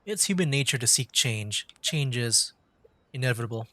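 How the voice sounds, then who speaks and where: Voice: medium pitch, expressive, normal speed, sharp tone. A streamer chatting casually over a game and reading dialogue options aloud, laid-back and conversational. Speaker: streamer, VTuber playing a game. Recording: game music under the voice. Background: game background music.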